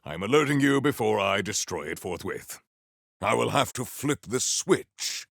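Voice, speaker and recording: An actor playing a noble, stern warrior in a video game, speaking with formal, grandiose deadpan deliberation. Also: male voice, lowish voice, a little slow, vocal fry, no echo, clean studio sound, exaggerated voice. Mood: matter-of-fact. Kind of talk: theatrical video game character acting.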